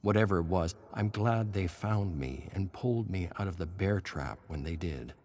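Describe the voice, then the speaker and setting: A man plays a droll survivor and would-be filmmaker with a very low voice, reading his game journal drily. The delivery is measured, with faint ambient noise behind it. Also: animated, a little mellow. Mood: uneasy, hopeful.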